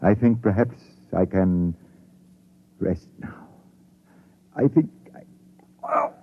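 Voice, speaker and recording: A man acting in a 1950s radio drama as a frail, lonely old man, halting, exhausted and pleading, on a tinny old recording. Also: on the low side, huge pitch swings, husky, exaggerated voice. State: weak, exhausted.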